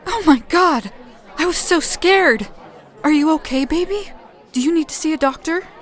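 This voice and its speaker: A woman plays a fretful, doting mother in an audio drama. Her very high voice fusses anxiously over faint crowd chatter. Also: moderate pace, natural voice. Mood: frantic, relieved. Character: panicky, doting mother of a teenage boy.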